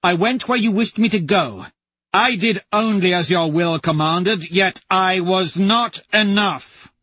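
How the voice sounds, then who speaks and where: A man's voice: high-pitched, measured pace, pushed and bright, dry recording, lo-fi sound, pitched above the performer's own voice, heavily acted. A man voicing a cruel, sneering young nobleman who speaks with cold mockery. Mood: bitter. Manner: mocking, cold, scornful.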